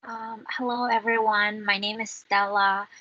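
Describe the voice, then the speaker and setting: A young woman from China introduces herself on a muffled video call. Her voice is bright and sharp. She is hesitant and fills pauses with "uh". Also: mid-range voice, flat delivery. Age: 20s. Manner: hesitant, frequent "uh". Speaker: alumni panelist.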